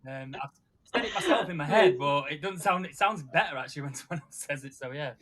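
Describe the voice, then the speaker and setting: A man's voice: on the high side, lively intonation, fast talker, crowd noise. A man with a Sheffield accent chatting casually as an interview guest, down-to-earth and self-effacing. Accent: Sheffield, northern English. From Sheffield, England. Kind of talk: casual chat.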